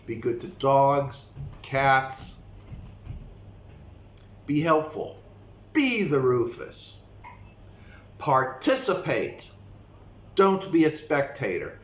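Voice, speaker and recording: A man from the United States talking slowly and reflectively to his viewers in a casual vlog. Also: medium pitch, big pitch swings. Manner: slow, reflective, with frequent pauses. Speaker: vlogger. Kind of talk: casual talk to camera. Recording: echoey room with faint noise.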